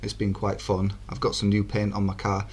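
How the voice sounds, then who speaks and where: A man with a hoarse, croaky voice, giving easygoing, chatty commentary over his own racing game footage as a channel host. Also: fairly low pitch, monotone, normal speed. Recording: game engine noise behind. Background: racing car engine sounds.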